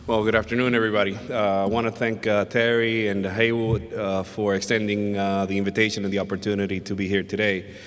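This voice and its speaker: A man from the Dominican Republic giving a conference presentation, speaking in a measured, hesitant way with many filler sounds. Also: on the low side, level tone, a bit bright, smooth. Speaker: invited conference speaker, emergency physician. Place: hotel ballroom at a summit. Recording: long reverb of a large ballroom.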